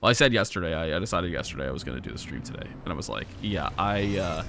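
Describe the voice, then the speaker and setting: A hoarse young man commenting as he plays a hard game live, thinking aloud, swearing and grumbling at his mistakes. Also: low-pitched, expressive, fast, noisy background. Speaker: gaming streamer.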